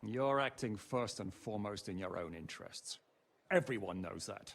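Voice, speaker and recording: Defiant, animated mercenary captain. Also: male voice, fairly low pitch, moderate pace, creaky. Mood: scornful, accusing. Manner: sardonic, challenging. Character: blunt, defiant mercenary captain, scornful and bold. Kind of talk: dramatic video game acting.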